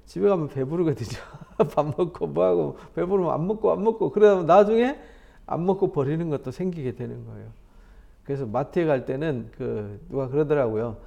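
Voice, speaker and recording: Lively conversational male pastor, mellow tone. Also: on the high side, brisk, creaky. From South Korea. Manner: conversational, animated, with laughs and rhetorical questions. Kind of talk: Bible study sermon.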